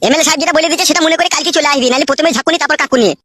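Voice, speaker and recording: A woman's voice: sits high, rapid-fire, background noise, lightly acted. A woman voicing a cheeky, bullying male henchman in a comic cartoon, taunting and crude, with a rural West Bengal accent.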